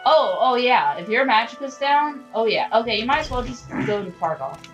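Female let's-play co-host, congested, chatty and excitable, over loud game music. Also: a little high, normal speed, dull audio. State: stuffed up. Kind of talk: casual gaming chat.

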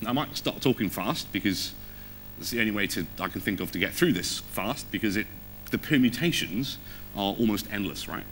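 A man's voice: on the low side, lively intonation, normal speed, a bit bright, faint music behind. A man giving a technical conference talk on stage in an informal, conversational way, often putting questions to the audience. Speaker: conference speaker. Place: on stage in a theatre or auditorium.

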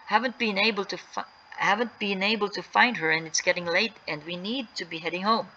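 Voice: medium pitch, fairly quick, bright, forceful tone, muffled recording, slight background noise, voice pushed up, natural voice. A storyteller voicing an eager, urgent young boy in a children's story. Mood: calling out.

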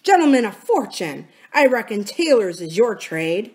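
A woman's voice: medium pitch, sing-song, moderate pace, darkish tone, pitched above the performer's own voice, lightly acted. A woman voicing a cunning, scornful male pirate captain and sea cook in a storybook, with sardonic, folksy sailor talk. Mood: indignant, vehement. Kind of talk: dramatic storybook character reading.

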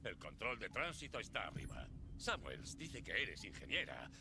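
A gruff, commanding station marshal, his low voice rising and falling with plenty of movement as he barks orders. The game audio carries noticeable background noise. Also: wildly swooping pitch, normal speed, heavily acted. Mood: stern. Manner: curt and commanding. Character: gruff middle-aged male station marshal. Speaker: game character in Spanish dub.

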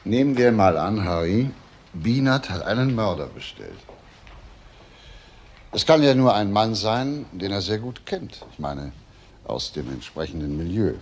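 An actor playing a shrewd senior police inspector in a crime drama, probing and insistent. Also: male voice, fairly low pitch, sing-song, a little slow. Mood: reflective, grim. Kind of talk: German TV crime drama acting. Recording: faint background noise.

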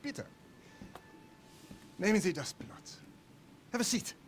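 A man's voice: sits high, lively intonation, slow, slight background noise. An actor playing a middle-aged Nazi officer in a war game, German-accented, mock-courteous and menacingly polite as he savors his words. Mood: suspicious, mock-courteous. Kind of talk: cinematic war game acting.

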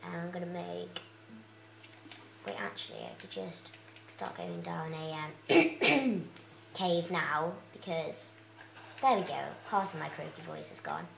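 A young girl, chatting casually with lots of fillers as she plays a video game, her voice croaky. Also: deep voice, big pitch swings, takes it slowly, fairly bright tone, echoey room, slight background noise, poor audio. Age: child. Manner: chatty, rambling, many fillers. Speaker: let's play host.

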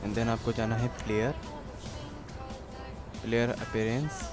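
A man's voice: mid-range voice, little pitch movement, a little slow. A man with an Indian accent narrating a casual gaming tutorial step by step, mixing in English words and repeating himself. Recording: loud music behind the voice. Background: loud music.

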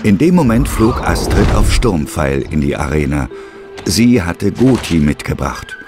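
Deep-voiced male story narrator. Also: sing-song, unhurried, over music.

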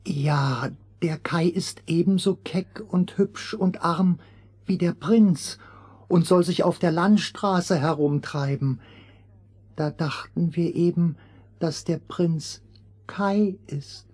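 An earnest crow from a fairy-tale record. The voice sits rather low and is husky. The bird explains things slowly, like a helper in a storybook play. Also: female voice, a little mellow. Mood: earnest explaining. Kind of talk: fairy-tale audio drama acting.

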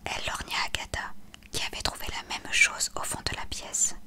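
Whispering woman reading a storybook. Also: moderate pace. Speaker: audiobook reader. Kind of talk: scripted storybook narration.

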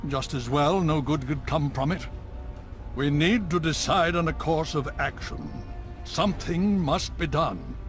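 A weary old commander from a video game, played by a man, grave and authoritative. His voice sits a little high for a man, over clear background music. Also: unhurried, close to an everyday voice. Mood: grave, urgent. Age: older. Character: stern, weary old military leader and father. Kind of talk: video game character acting.

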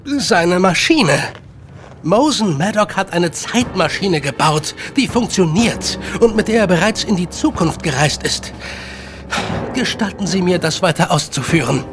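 An actor playing an eager, talkative Victorian gentleman writer in a radio drama, speaking in formal, wordy sentences. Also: high voice, lively intonation, unhurried, over music. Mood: grave. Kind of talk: German radio drama acting.

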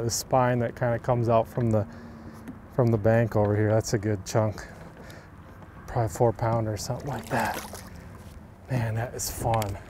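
A man in his thirties, a pro angler, explaining fishing technique in a relaxed, easygoing way from an open boat on a lake. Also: mid-range voice, slight background noise. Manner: relaxed, easygoing, many fillers. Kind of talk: on-the-water fishing instruction.

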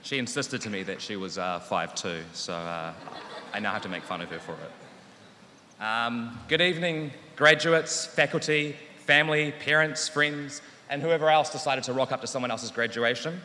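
A man's voice: medium pitch, normal speed, pushed and bright, slight background noise. A man in his thirties with a New Zealand accent, a teacher giving a wry, earnest graduation speech at a podium microphone in a large hall. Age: 30s. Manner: wry, self-deprecating, earnest. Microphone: podium microphone on stand. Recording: long hall reverb.

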